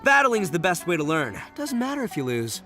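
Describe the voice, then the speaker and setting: A man's voice: high voice, huge pitch swings, a little fast, full character voice. A man playing a brash, goofy young gang boss in a video game, boastful and theatrical. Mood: fired up. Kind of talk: video game character acting. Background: music.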